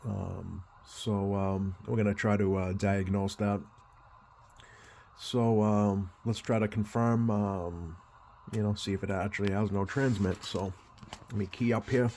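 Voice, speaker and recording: A man's voice: on the low side, fairly even intonation, measured pace, a little mellow. A man giving a casual hands-on repair walkthrough as a technician, thinking aloud hesitantly at a workbench. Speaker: CB radio repair technician demonstrating. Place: indoor workbench. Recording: clear background noise.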